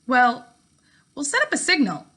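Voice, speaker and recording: A woman's voice: high voice, huge pitch swings, normal speed, bright, forceful tone, exaggerated voice. A woman voicing a bold, confident girl in a storybook, breezy and mocking.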